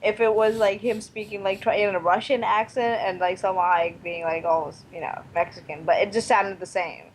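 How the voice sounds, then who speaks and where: A woman's voice: medium pitch, steady tone, a little fast, few pauses, a little hiss or hum, low-quality recording. A woman guesting on a casual film podcast, talking informally with lots of "like".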